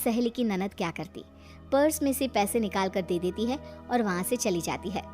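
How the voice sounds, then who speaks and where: A woman's voice: medium pitch, normal speed, darkish tone, soft background music. A woman narrating a scripted family story in a dramatic storytelling style.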